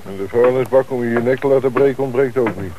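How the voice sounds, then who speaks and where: A man's voice: mid-range voice, quick pace, highs cut off, lightly acted. A man acting in a radio play as a wry, grumbling, matter-of-fact police detective. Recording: noisy, crackly old radio play recording.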